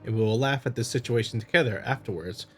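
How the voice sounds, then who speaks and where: A man playing a smooth, scheming visual novel husband who feigns loving concern, coaxing and patronizing. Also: medium pitch, quick pace, fairly bright tone, faint music behind, natural voice. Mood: feigned concern.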